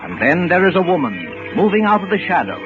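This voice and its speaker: Dramatic vintage radio announcer, animated man. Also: high voice, fast talker. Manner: dramatic, formal, polished. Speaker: announcer and narrator. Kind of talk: 1940s scripted radio narration. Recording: muffled vintage radio broadcast, music behind.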